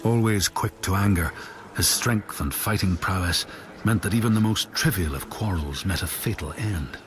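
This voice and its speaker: A man plays a saga teller in a video game. His low voice narrates old tales with measured solemnity, and ambient noise is clearly audible behind it. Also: natural voice. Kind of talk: scripted storytelling narration.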